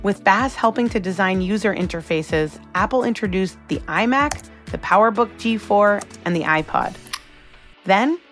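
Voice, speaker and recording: A woman's voice: medium pitch, lively intonation, normal speed, fairly bright tone. Woman narrating a documentary over music. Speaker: podcast narrator and host.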